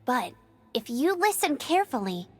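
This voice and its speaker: Music behind a very high, lively young female scout, plucky and earnest, anime game style. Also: normal speed, slightly rough, exaggerated voice. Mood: determined. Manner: perky, earnest, dramatic delivery.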